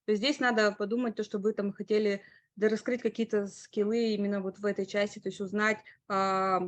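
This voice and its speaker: A woman speaker on a webinar, giving career advice in an explanatory way with frequent pauses and hesitations. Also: mid-range voice, level tone, dry recording. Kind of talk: online webinar talk.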